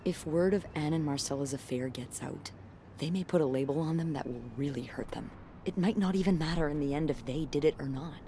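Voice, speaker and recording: A caring, guarded woman in a story-driven game. She confides in halting, natural phrases. There is slight ambient noise underneath. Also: deep voice, warm tone. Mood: serious, caring. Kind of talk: naturalistic video game acting.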